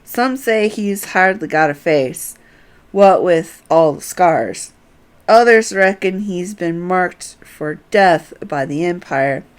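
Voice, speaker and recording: A woman voicing a nosy, knowing townsperson from a fantasy game, gossiping in a folksy manner. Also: on the low side.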